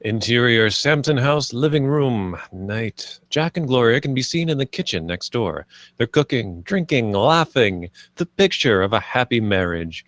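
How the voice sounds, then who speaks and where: A man's voice: medium pitch, very animated, a little slow, fairly bright tone, no echo. A man reading scripted stage directions as the narrator of a live screenplay table read.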